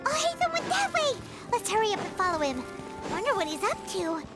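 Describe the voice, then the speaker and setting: A woman playing a small, childlike, chatty fairy companion in a video game, with perky exclamations and a squeaky voice. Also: big pitch swings, fairly quick, fairly bright tone, exaggerated voice. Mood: surprised, eager. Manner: perky, exclamatory, refers to self in third person. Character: small childlike fairy companion girl, excitable, chatty, third-person speaker. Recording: game music behind the voice.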